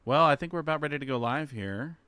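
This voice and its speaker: A man's voice: fairly high pitch, expressive, quick pace, bright, forceful tone, clear, resonant tone, no echo. A man hosting a live stream, chatting casually in an easygoing way. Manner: easygoing, hesitant restarts. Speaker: host.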